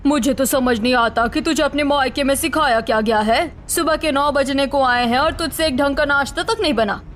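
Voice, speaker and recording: A woman acting a harsh mother-in-law and her pleading daughter-in-law in an animated family drama, shrill and emphatic. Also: normal speed, a little hiss or hum. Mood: scolding, pleading.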